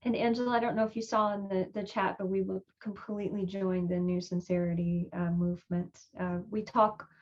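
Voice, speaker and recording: A woman's voice: medium pitch, steady tone, a little fast, few pauses, darkish tone. A woman speaking earnestly and conversationally as a poet in a casual panel discussion. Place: remote video call. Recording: dull, lo-fi video call audio.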